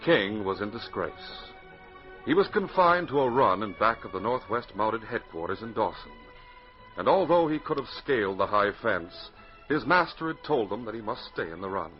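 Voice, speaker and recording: A man's voice: fairly low pitch, sing-song, moderate pace, soft background music. A man with a North American accent announcing and narrating a 1940s radio adventure in a dramatic, emphatic way. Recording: muffled, hissy 1940s radio recording.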